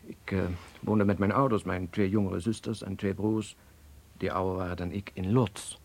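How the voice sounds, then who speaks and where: Earnest young man, low husky voice. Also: steady tone, moderate pace, a little mellow. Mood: grave, recollecting. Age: young adult. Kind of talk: Dutch radio play acting.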